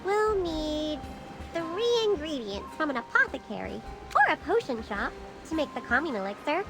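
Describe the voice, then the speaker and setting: Very high, lively young female apothecary. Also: moderate pace, over music. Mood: thoughtful, helpful. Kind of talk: voiced dialogue in a fantasy game.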